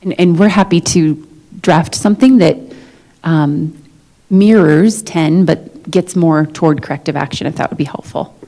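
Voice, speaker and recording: Mellow, low-voiced woman negotiator. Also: poor audio. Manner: measured, diplomatic, explanatory. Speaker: negotiator for a school district's management. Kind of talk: formal but conversational negotiation discussion. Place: meeting room, live-streamed negotiation session.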